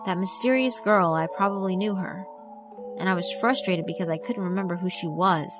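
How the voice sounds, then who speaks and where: Woman, low voice, scripted story narration, first person, clear mallet music behind. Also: brisk. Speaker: narrator of a visual novel reading. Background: mallet and glockenspiel music.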